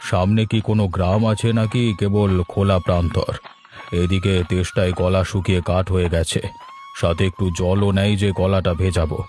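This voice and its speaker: A man voicing a thirsty traveller who mutters to himself in an animated folk tale. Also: low-pitched, moderate pace, faint music behind, natural voice. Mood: weary, searching. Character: thirsty male traveller wandering open country.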